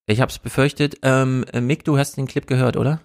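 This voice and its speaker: A man with a gravelly, creaky voice hosts a casual political livestream, opinionated and restless. The sound is dry and close, with no room around it. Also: mid-range voice, steady tone, normal speed, no echo. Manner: opinionated, repeats "grotesk", restless. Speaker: livestream host.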